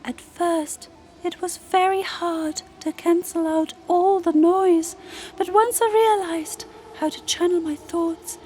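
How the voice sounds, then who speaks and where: Woman playing a very high-voiced serene moon spirit. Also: warm tone. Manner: formal, solemn, measured storytelling. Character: serene, lofty female moon spirit, long-absent elder sister. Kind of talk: audio drama character monologue. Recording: music behind the voice.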